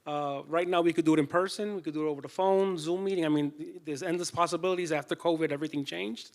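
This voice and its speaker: A man's voice: a little high, fairly even intonation, quick pace. Man on a panel, echoing hall. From Puerto Rico, born in New York City. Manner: explanatory, often checking in with "okay?" and "right?". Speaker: panelist, small business loan adviser. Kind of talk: informal panel presentation. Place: conference room. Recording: echoey hall with long reverb.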